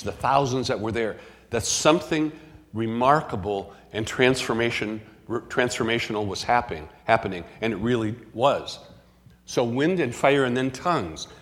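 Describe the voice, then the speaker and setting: A man preaching a sermon, earnest and measured, often restarting his phrases. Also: medium pitch. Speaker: pastor. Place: church sanctuary. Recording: long hall reverb in a church.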